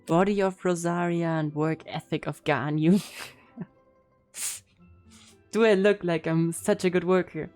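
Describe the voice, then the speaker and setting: A woman's voice: lowish voice, steady tone, measured pace, pauses often, darkish tone. A woman streaming a game with casual, playful, teasing live reaction commentary. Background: game audio and music.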